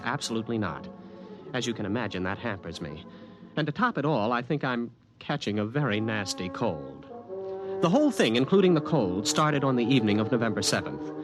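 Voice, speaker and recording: An actor playing an anxious young college student who narrates a 1950s radio drama, wry and prone to nervous stammering. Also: male voice, lowish voice, poor audio.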